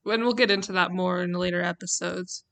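A woman's voice: on the low side, fairly even intonation, a little fast, fairly bright tone. A young woman with an American accent, introducing a student podcast in a casual way. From Utah, United States. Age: young adult.

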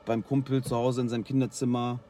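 A man from Germany, a guest freestyle rapper, telling stories in relaxed slangy casual chat, worn out after a long night. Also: medium pitch, quick pace, a little hiss or hum. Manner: relaxed, slangy storytelling with fillers.

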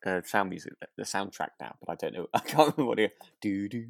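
A British man co-hosting a podcast in relaxed casual chat, rambling a little hesitantly and sounding amused. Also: fairly low pitch, fast talker, vocal fry, dry recording, clean studio sound. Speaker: podcast co-host.

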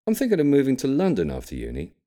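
Young male student acted by a man, raised pitch, casual, curious, eager, slightly hesitant, audiobook dialogue. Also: huge pitch swings, fast talker, dark tone. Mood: tentative. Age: young adult.

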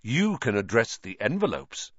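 A man's voice: fairly low pitch, wildly swooping pitch, normal speed, a bit bright, slightly rough, no echo, muffled recording, heavily acted. A man voicing a vain, jolly celebrity wizard with a pompous, effusive, theatrical manner in a storybook reading. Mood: beaming, self-satisfied.

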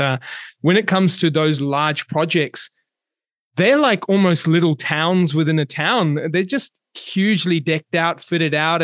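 A man's voice: a little high, a bit bright, dry recording, studio quality. A man hosting a conversational podcast discussion, reflective and ready with his own opinions. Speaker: podcast host, property researcher.

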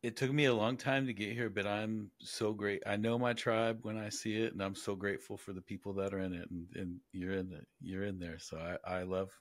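Male podcast host, low voice, halting casual delivery, repeated words, dry recording. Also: little pitch movement, no room sound. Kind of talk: casual interview chat.

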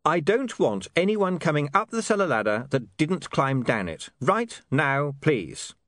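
Principled young storybook journalist, acted by a man, precise, formal, exasperated, lively raised pitch, dry studio-close sound. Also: measured pace, no echo.

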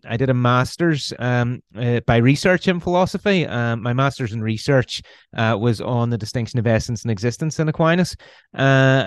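A man's voice: mid-range voice, clear, resonant tone, dry recording, studio quality. Belfast-accented man explaining philosophy. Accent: Northern Irish, Belfast. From Northern Ireland. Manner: hesitant, many ums and restarts. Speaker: guest philosopher. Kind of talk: conversational explanation.

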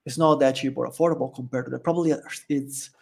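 A man's voice: medium pitch, fast talker, vocal fry, husky. A man from Italy speaking English with an Italian accent, chatting as a podcast guest and laughing often. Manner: chatty, animated storyteller who laughs often. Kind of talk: casual interview chat.

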